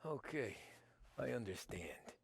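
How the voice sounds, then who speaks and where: An actor playing a young gangster who talks bluntly and impatiently in a game cutscene. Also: male voice, mid-range voice, very animated, slow pace, creaky, full character voice. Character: young mob driver turned gangster, brash. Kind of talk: video game cutscene voice acting.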